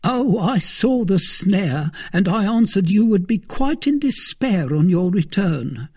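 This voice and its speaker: Man doing a high excitable young servant. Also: slow pace, slightly rough, heavily acted. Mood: anxious eager.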